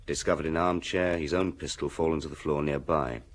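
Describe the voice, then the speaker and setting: A police detective in a radio play, with a low voice. He reports in clipped, factual phrases, plain and businesslike. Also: steady tone, a little fast. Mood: matter-of-fact. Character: matter-of-fact male police detective giving a report. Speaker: actor in radio drama.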